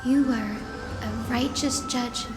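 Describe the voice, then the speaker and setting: An actress playing a gentle, earnest young woman descended from sky people, polite and formal, in a fantasy video game. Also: on the high side, fairly even intonation, slow pace. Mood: respectful, earnest. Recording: echoey hall reverb, music underneath.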